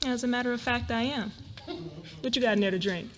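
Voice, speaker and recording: A woman's voice: a little high, fairly quick, natural voice. A woman playing a wary, curious, outspoken young college student in a dramatic reading of a novel. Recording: noisy live event recording.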